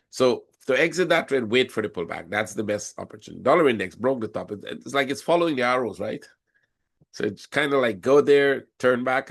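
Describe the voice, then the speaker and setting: Low gravelly guy with a cold, hoarse, live trading room walkthrough, says things twice. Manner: explains chart patterns step by step, repeating points. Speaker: forex trading instructor.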